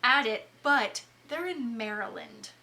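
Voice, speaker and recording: A woman's voice: fairly high pitch, lively intonation, a little slow, sharp tone, slight background noise. A woman from the Southern US co-hosting a book podcast, giving candid, opinionated takes in casual conversation and laughing easily. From Southern United States. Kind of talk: casual chat.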